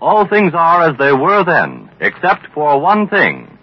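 American male announcer, high-set husky voice, dramatic vintage radio delivery. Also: wildly swooping pitch, takes it slowly. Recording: muffled old radio recording.

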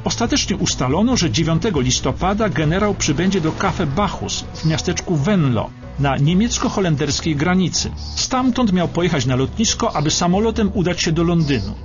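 A man's voice: a little high, lively intonation, moderate pace, hardly any pauses, muffled recording. A man delivering scripted historical documentary narration for radio. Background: clear background music.

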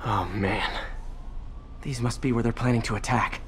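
An earnest young American superhero played by a man in a video game, quick-witted and conversational. His pitch sits in the middle range, with clear noise of game effects behind him. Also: lightly acted. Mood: alarmed.

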